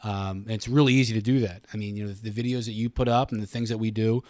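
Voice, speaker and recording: A man's voice: lowish voice, steady tone, fast talker, darkish tone, no echo. Middle-aged American man, close dry sound. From Pennsylvania, United States. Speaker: radio station communications director, podcast guest. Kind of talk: casual interview conversation.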